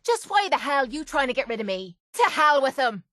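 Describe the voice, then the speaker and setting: A woman's voice: high-pitched, very animated, fairly quick, fairly bright tone, dry recording, studio quality. A woman voicing a tough, sarcastic young Irish mercenary in a video game, with blunt, cocky, slangy delivery. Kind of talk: video game companion voice lines.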